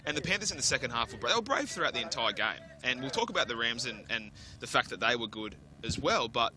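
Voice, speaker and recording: A man's voice: medium pitch, big pitch swings, quick pace, pushed and bright, rough recording. A man giving chatty, analytical colour commentary at an outdoor rugby league match. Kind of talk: live sports commentary and analysis.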